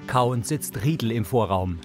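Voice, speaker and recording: A man's voice: mid-range voice, expressive, unhurried, music in the background. A man reading scripted audio description for a television drama.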